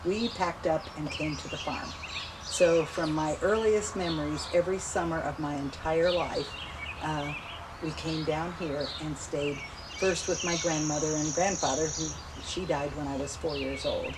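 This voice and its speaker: A woman in her seventies warmly recounting family memories in a relaxed, chatty interview. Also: low-pitched, normal speed. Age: 70s. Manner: chatty, reminiscing, laughs easily. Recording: outdoor noise with insects and birds. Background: insects and birds.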